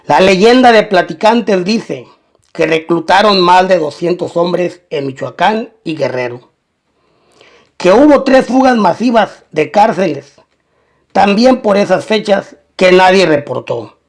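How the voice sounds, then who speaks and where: A man's voice: high voice, lively intonation, unhurried. A man narrating a scripted, documentary-style crime story.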